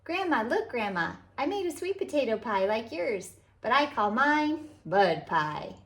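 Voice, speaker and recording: A woman voicing an excited, curious four-year-old girl in a children's storybook, with an eager, childlike manner. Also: a little high, a little slow. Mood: eager, proud.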